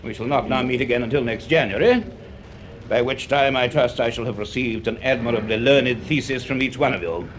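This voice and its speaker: Man playing donnish old-school professor, a bit gruff and formal, radio drama, medium-pitched voice. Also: fairly quick, noisy background, natural voice. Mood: formal, lecturing. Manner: donnish, formal, hesitant when reminiscing. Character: learned, slightly gruff male university astrophysics professor. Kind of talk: radio drama monologue and lecturing.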